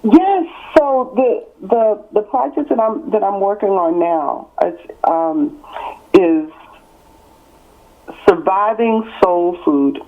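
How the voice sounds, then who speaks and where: A woman's voice: medium pitch, animated, takes it slowly. Woman in her 60s on a tinny phone line. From born in California, raised in East Texas. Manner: emphatic, builds points in repeated parallel phrases. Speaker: author, interview guest. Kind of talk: interview on a call.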